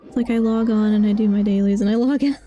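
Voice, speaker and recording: A woman's voice: mid-range voice, steady tone, quick pace, dark tone, lo-fi sound, soft background music. A sleepy-sounding woman chatting casually on a game stream, rambling and thinking aloud. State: sleepy, yawning. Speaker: live streamer.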